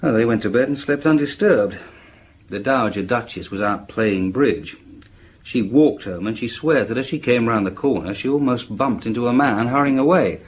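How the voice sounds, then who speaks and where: An actor playing a diligent police inspector in a radio drama, reporting matter-of-factly in a conversational way. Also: male voice, mid-range voice, expressive, natural voice, rough recording. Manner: hesitant pauses, matter-of-fact reporting. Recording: faint background noise.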